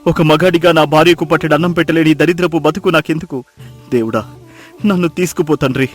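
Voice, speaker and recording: Male actor for a humble, wretched village fisherman: highish gravelly voice, pleading, music beneath. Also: normal speed. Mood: bitter despair. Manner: pleading, lamenting, self-blaming. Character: poor, despairing, humble fisherman husband. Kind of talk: acted moral-story dialogue. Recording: music behind the voice.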